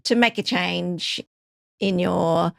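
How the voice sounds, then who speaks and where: A woman's voice: lowish voice, slow, vocal fry, no room sound, clean studio sound. A woman hosting a podcast, encouraging and upbeat with her guests. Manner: upbeat, encouraging, with warm laughs and affirmations. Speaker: podcast host. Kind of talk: scripted intro then interview chat.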